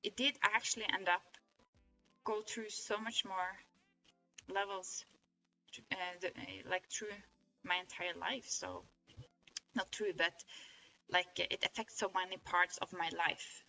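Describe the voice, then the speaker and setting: A woman's voice: mid-range voice, fairly even intonation, takes it slowly, vocal fry, long pauses, sharp tone, faint music behind, rough recording. A woman with a Norwegian accent, a native Norwegian speaker, talking through her experience in an earnest, rambling way, with many restarts. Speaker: vlogger. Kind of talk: casual reflective monologue.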